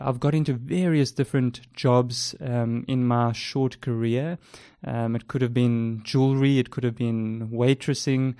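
A man's voice: mid-range voice, clean tone, no echo, studio quality. A young adult man with a South African accent, co-hosting a podcast and casually introducing himself with frequent ums. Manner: relaxed, with frequent ums and uhs. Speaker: podcast co-host.

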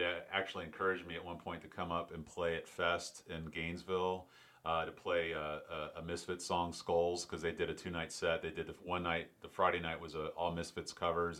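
A middle-aged man from Florida hosts a loose, casual interview. His voice sits very low and deep, yet the tone comes out bright and forward. He rambles, circling back with fillers and half-finished restarts before landing on his question. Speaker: podcast host.

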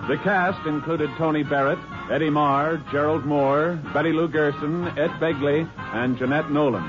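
A man's voice: a little high, steady tone, normal speed. A man working as a radio announcer, reading credits in formal 1950s broadcast style. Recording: muffled 1950s radio recording, music behind.

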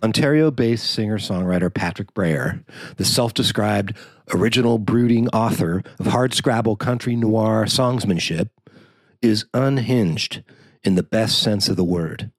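Male narrator for a written arts profile. Low register, dry close sound. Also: a little slow, dry recording. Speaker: journalist narrator.

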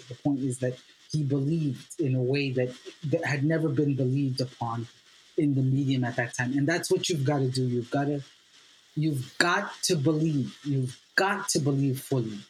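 A man's voice: mid-range voice, slight background noise, low-quality recording. Earnest man, native Swahili speaker. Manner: earnest, halting with fillers, building to emphatic repetition. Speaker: playwright guest. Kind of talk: podcast interview.

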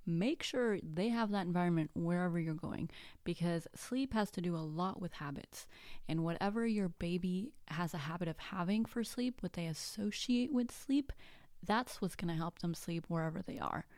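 A woman's voice: on the low side, normal speed, darkish tone, no room sound, studio quality. A woman in her thirties from Mexico whose first language is Spanish, hosting a casual solo podcast in a chatty, self-deprecating way with jokey asides. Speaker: podcast host.

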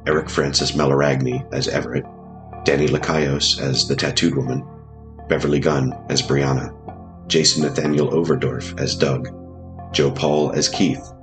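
A man reading scripted programme credits in a measured, formal manner. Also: very deep voice. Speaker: credits announcer. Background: music.

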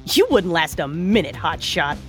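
A woman's voice: on the low side, big pitch swings, moderate pace, faint music behind. A woman playing a tough, cocky superhero vigilante in an audio drama, with sassy, confident banter.

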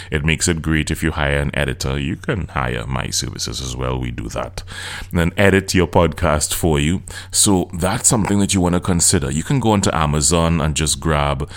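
A middle-aged person with a Trinidadian accent, hosting a solo podcast and coaching listeners in an earnest, direct way. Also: male voice, extremely low pitch. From Trinidad and Tobago.

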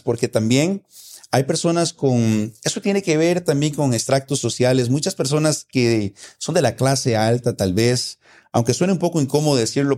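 A man's voice: mid-range voice, darkish tone. A man with a Costa Rican accent, patiently explaining local words in a casual conversation, often repeating his points. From Costa Rica. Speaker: guest explaining local slang.

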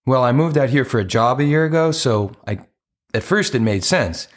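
A man's voice: medium pitch, animated, normal speed, lightly acted. An actor playing an earnest, apologetic romantic man in an audio play, with a hesitant, conversational delivery. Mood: earnest, hopeful.

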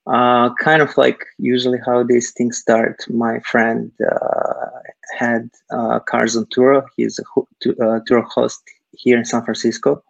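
A man from Serbia, answering interview questions haltingly over a video call, filling pauses with lots of uh. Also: mid-range voice, flat delivery, gravelly, no echo, dull audio. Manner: hesitant, many uh fillers. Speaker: guest, startup technical founder.

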